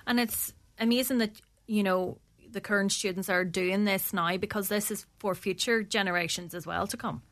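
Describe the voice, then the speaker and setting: A woman's voice: medium pitch, fairly even intonation, moderate pace, hardly any pauses, fairly bright tone. An Irish woman interviewing a guest for radio, asking questions in a conversational, prompting way.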